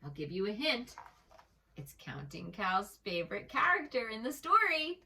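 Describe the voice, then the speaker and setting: A woman telling a children's story in an expressive, gentle manner, as a read-aloud for preschoolers. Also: medium pitch, normal speed, gravelly, far from the mic, slight background noise. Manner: expressive, gentle, asks the listener questions.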